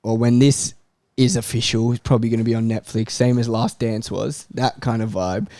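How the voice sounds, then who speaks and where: A man's voice: mid-range voice, fairly even intonation, moderate pace, warm tone, no room sound. Blunt man bantering about football, dry sound. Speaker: panellist on a football show. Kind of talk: casual banter.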